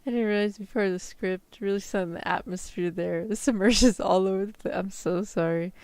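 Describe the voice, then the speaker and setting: A woman's voice: medium pitch, brisk, a little mellow, smooth, no room sound. A woman streamer chatting casually with her viewers, her throat a little sore. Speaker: VTuber streamer. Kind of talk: casual livestream chat.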